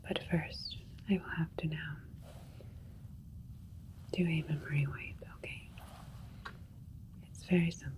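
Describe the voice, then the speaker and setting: Slow whispering female alien doctor, played by a woman. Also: low voice, steady tone. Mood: calm, reassuring. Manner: gentle, soothing, deliberate, hushed. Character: calm, clinical female alien doctor examining an abductee. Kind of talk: ASMR sci-fi roleplay, soft whispering.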